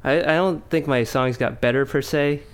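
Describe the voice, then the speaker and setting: A man's voice: fairly high pitch, normal speed, clear, resonant tone. A man co-hosting a podcast in casual chat, easygoing and reflective. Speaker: podcast co-host.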